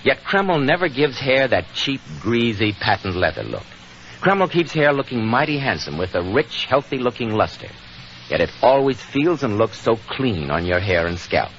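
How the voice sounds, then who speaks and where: A man's voice: medium pitch, big pitch swings, moderate pace, fairly bright tone. An American man announcing in 1940s radio style, with a polished salesman's delivery. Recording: muffled, hissy 1940s radio recording.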